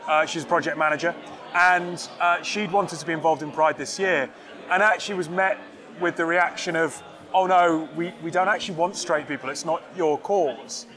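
A man's voice: fairly high pitch, steady tone, moderate pace, bright, forceful tone. A man, interviewing guests at a tech event in a chatty, personal way. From North East England. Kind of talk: conversational interview.

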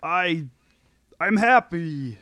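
Man acting insecure whiny husband, high-pitched, sharp tone, stammering, defensive, sitcom table read. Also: lively intonation, drawn-out words, no echo, full character voice. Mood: sullen. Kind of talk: amateur comedy table read.

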